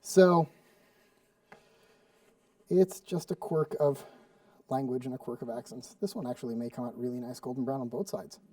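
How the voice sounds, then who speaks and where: A man's voice: medium pitch, moderate pace, pauses often, dark tone, no echo, distant mic. A man from Sweden with no accent, chatting casually and rambling with fillers while demonstrating a recipe as a cooking show host. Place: studio kitchen.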